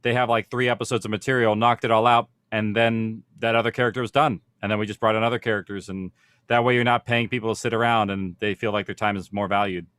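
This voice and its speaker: A man's voice: lowish voice, steady tone, quick pace, a bit bright, clean tone, no echo. A man working in audio production, explaining things casually in an informal panel discussion.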